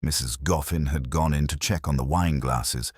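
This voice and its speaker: Male narrator for literary mystery: bottom-register voice, slightly husky, wry and measured delivery. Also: extremely low pitch, fairly even intonation, no room sound, clean studio sound. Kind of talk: scripted narration of a mystery story.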